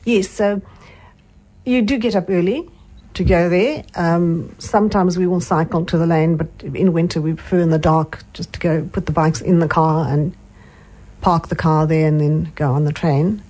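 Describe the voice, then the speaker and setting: A woman's voice: lowish voice, fairly even intonation, moderate pace, poor audio. An older woman with a South African accent, a guest chatting and reminiscing in an interview. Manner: reminiscing, with soft hums of agreement. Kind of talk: casual interview chat.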